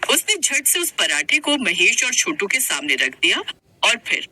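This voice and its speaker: A woman's voice: fairly high pitch, big pitch swings, measured pace, pushed and bright, a little hiss or hum. A woman narrator reading a scripted moral story in Hindi.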